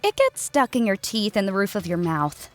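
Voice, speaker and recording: A weary, grumbling alien woman, as a female narrator plays her in a sci-fi audio story. Her medium-pitched voice is quiet, skeptical and sullen, over faint music. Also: big pitch swings, moderate pace, close to an everyday voice. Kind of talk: audio fiction character acting.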